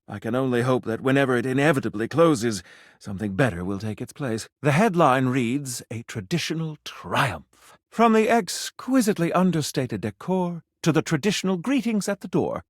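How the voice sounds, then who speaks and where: A man's voice: fairly high pitch, no echo, clean studio sound. An actor playing a snobbish, theatrical food critic in a video game, a man with pompous, precise, refined diction. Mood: haughty disdain, then grand approval. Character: flamboyant, snobbish, easily offended male food critic, grandly romantic. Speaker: restaurant critic.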